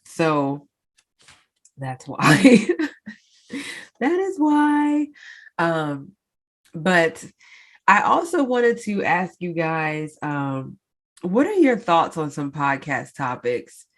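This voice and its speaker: A woman's voice: deep voice, sing-song, extremely slow, pauses often. A woman hosting her own podcast in a casual solo update, congested and sounding nasal, chatty with many fillers and a lilt. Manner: chatty, many fillers, addresses listeners directly. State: congested, stuffed-up nose. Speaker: podcast host.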